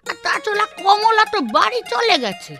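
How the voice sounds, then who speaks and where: Naggy village housewife in a cartoon, high n really animated, clear music behind. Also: female voice, moderate pace, exaggerated voice. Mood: nagging. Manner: nagging, exclamatory. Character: scolding, practical village housewife, bossy but caring. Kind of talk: cartoon voice acting.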